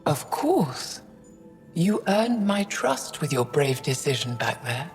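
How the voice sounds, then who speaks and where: A woman voicing a frail, wise elderly alien scholar in a video game, speaking in a measured, formal way. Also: low voice, big pitch swings, long reverb tail, soft background music, full character voice. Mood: composed, gracious.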